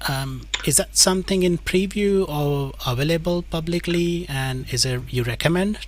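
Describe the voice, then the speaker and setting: A man's voice: fairly high pitch, moderate pace. Man hosting an online conference. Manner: casual, frequent "like, you know" fillers. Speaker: event host. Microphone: video call.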